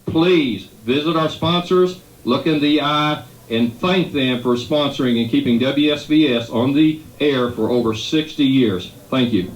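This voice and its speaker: A man's voice: medium pitch, measured pace. A man emceeing a live radio event with formal introductions and thanks. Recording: echoey room, faint background noise.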